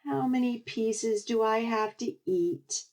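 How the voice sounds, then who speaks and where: Storybook little boy pea, played by a woman: picky, whiny, excitable, pitched up and exaggerated. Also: slow pace. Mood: whining. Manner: whining, exaggerated.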